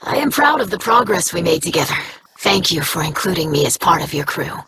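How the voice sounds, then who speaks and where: A woman's voice: lowish voice, very animated, brisk, noisy background. An actress playing an earnest, sincere young alien woman in a video game.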